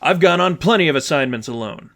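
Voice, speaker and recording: A man's voice: on the high side, lively intonation, fast, fairly bright tone, pitched above the performer's own voice. A man voicing a proud, impatient boy vigilante, curt and irritated, in a storybook reading. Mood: defiant.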